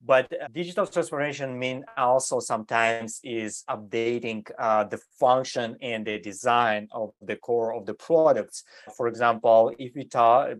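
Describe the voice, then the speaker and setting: Interview guest, man, grew up in Germany; mid-pitched, halting English, lots of fillers. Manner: hesitant non-native English, many fillers and restarts. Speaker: design entrepreneur, guest. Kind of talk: interview answers. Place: California.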